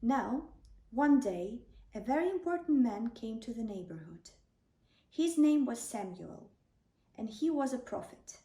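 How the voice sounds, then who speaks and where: Female bedtime storyteller, high and mellow, gentle deliberate delivery, roomy sound. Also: expressive. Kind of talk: children's bedtime story narration. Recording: roomy, distant microphone.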